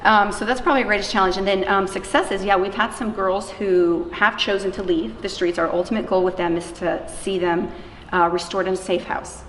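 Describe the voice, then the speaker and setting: A woman's voice: medium pitch, steady tone, brisk, a bit bright. A woman giving earnest, conversational answers as an interviewee about her work. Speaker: interviewee, missionary running an anti-trafficking organization. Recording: echoey reverberant room, faint noise.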